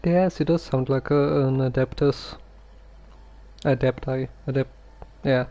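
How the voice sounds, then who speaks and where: Slow, hesitant man streaming a game. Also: medium pitch, steady tone, warm tone. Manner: hesitant, halting, musing to himself. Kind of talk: casual gameplay livestream commentary.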